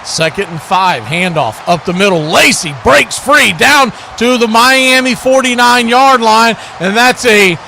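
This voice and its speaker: A male sports announcer with a notably high-pitched voice delivers live football play-by-play. He works in short, clipped phrases that follow the action. A clear layer of noise sits behind his voice. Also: unhurried. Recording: clear steady background noise.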